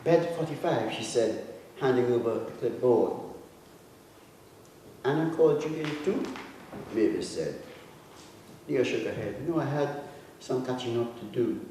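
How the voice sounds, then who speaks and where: A man reads literary fiction in a big echoing hall, picked up from some distance. His voice is mellow and soft-edged, and he phrases each passage deliberately, with dramatic pauses. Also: mid-range voice, animated. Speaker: author reading own short story. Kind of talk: literary reading of prose fiction. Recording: echoey hall, distant mic, faint noise.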